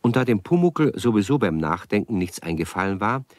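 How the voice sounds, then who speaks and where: A man's voice: fairly low pitch, very animated, brisk, darkish tone, no room sound. A man narrating a children's radio play as its storyteller, in scripted storytelling style. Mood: animated, relaxed.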